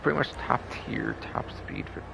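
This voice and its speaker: A man's voice: moderate pace, background noise. A man with a tired throat doing casual, rambling game livestream commentary, repeating himself, hedging and swearing casually.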